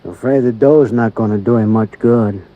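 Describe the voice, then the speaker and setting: An actor playing a big, slow-talking small-town deputy sheriff in a 1950s radio drama, with a folksy, unhurried drawl and rural grammar. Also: male voice, lowish voice, lightly acted. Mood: laconic. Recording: dull lo-fi old radio recording.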